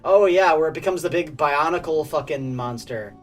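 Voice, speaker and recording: A man's voice: on the high side, normal speed, recorded from a distance. A man co-hosting a podcast with casual, crude, joking banter and plenty of swearing. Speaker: podcast co-host. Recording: slightly off-mic, music behind.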